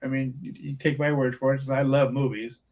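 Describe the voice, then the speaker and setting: A soft-spoken man co-hosting a casual movie review podcast, talking quietly. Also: medium pitch, flat delivery, brisk, narrow, tinny audio. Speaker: podcast co-host.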